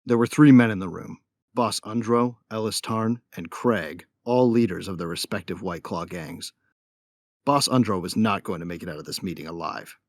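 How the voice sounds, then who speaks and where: A man's voice: sits low, moderate pace, no echo, clean studio sound. A man playing a cocky, wry bounty hunter who narrates his own story in an audio drama. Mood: wry, confident. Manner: wry, self-satisfied. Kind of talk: first-person dramatic narration.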